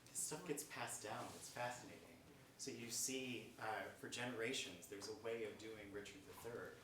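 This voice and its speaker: Low-voiced man moderating in an echoey theatre. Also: a crowd in the background. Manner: halting, thoughtful phrasing. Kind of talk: public Q&A discussion. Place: stage of a mid-sized theatre auditorium. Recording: echoey theatre, distant mic, noisy.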